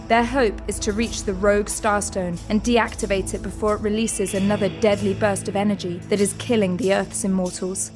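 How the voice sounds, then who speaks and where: Woman narrating over music. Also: mid-range voice. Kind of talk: scripted audio drama narration.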